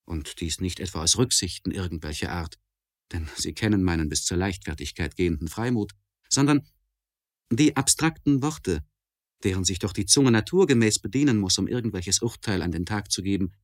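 Man acting a deep-voiced, anguished learned gentleman. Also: close to an everyday voice. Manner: formal, deliberate, elevated diction. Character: anguished, learned gentleman writing a confessional letter, tormented and precise. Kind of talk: literary radio play monologue.

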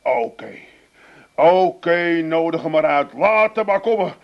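A jealous, blustering Amsterdam husband. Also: male voice, on the high side, lively intonation, measured pace, lo-fi sound. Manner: blustering, stammering, theatrical outbursts. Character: jealous, hot-headed husband. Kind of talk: comic radio play acting.

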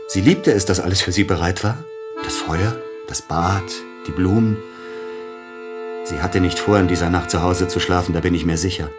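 A man's voice: deep voice, natural voice. A man playing an aging, bitter, melancholy celebrated pianist in a radio play, speaking in a formal, brooding, theatrical way. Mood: suspicious, insistent.

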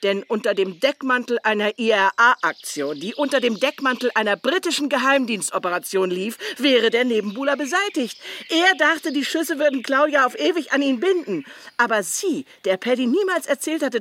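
Blunt, bright-voiced bitter woman. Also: fairly high pitch, animated, normal speed, a little hiss or hum. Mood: bitter, confessing. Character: blunt, bitter woman confessing long-kept secrets to police. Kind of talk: German crime radio play acting.

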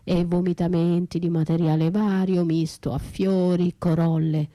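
A woman's voice: lowish voice, little pitch movement, unhurried, dark tone, lightly acted. An actress playing a battered, trapped, desperate woman in a theatrical radio monologue, speaking in poetic fragments and repeated words that lapse into whispers. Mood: anguished, lyrical.

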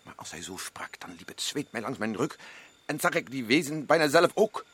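A man's voice: mid-range voice, very animated, normal speed, breathy edge. An actor playing an agitated, insistent male expedition member in a radio drama. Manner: urgent, rising to shouts.

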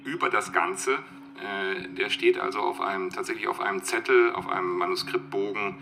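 A man's voice: on the low side, moderate pace, creaky, pushed and bright, a little hiss or hum. A man giving a reflective, unscripted explanation as a literary expert, searching for words and often repeating them. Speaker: literary expert being interviewed. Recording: music behind the voice.

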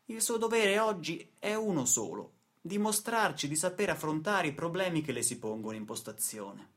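A man's voice: fairly high pitch, big pitch swings, moderate pace, a bit bright, pitched above the performer's own voice. A man playing a condescending, evasive engineer boss in a radio play, speaking in a formal, officious way. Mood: smug, dismissive.

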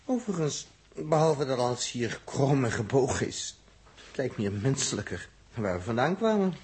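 A man with a Netherlands Dutch accent playing a sardonic, grumbling young space traveller in a 1960s radio drama, with a dry, offhand, husky delivery. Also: mid-range voice, big pitch swings, moderate pace, darkish tone, slight background noise, close to an everyday voice. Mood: dry, dismissive.

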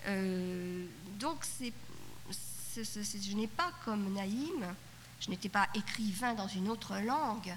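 A middle-aged woman, first language Yiddish, thinks aloud at a conference panel, pausing and restarting her phrases. Her voice sits at an ordinary pitch. It comes from an old cassette tape of a hall, with a long reverb tail and a light hiss underneath. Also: lively intonation. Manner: thoughtful, halting, many restarts and fillers. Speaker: panelist at a literature conference roundtable. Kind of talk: spoken reflection at a conference panel.

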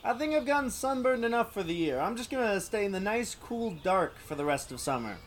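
Sarcastic, grumbling comedy sidekick, played by a man: high, very animated male voice, faint background noise. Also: brisk. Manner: dry, complaining. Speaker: comic sidekick. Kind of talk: scripted comedy skit.

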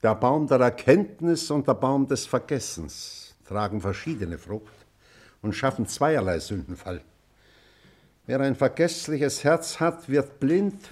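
An actor playing an old teacher, solemn and exacting, with a measured schoolmasterly manner in a literary drama. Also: male voice, medium pitch, a little mellow. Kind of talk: German stage or radio drama acting.

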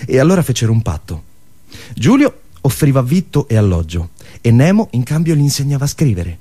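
A man narrating a scripted story theatrically, dramatic and emphatic, with staged pauses. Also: mid-range voice, sing-song, mellow, slightly rough.

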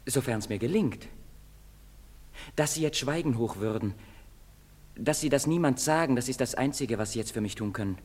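An actor playing a restless, defiant young outcast in a sardonic, intense theatrical manner. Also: male voice, medium pitch, normal speed, pauses often, darkish tone, close to an everyday voice. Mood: bitter, restless. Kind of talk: theatrical stage-play acting. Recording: long reverberant hall sound.

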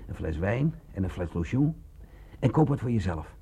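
An actor in a radio play voicing a cynical, boastful, sickly bandit with a hoarse, drawling, mocking delivery. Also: male voice, on the low side, big pitch swings, moderate pace, mellow, heavily acted. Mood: swaggering. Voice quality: hoarse, gravelly.

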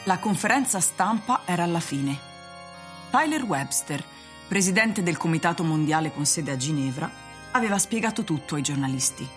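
A woman narrator reading a literary radio drama in a measured storytelling manner. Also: fairly low pitch, lively intonation, music in the background.

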